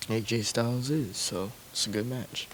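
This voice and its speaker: A man making slow, laid-back remarks in a casual wrestling discussion. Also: mid-range voice, fairly even intonation, a bit bright, slight background noise.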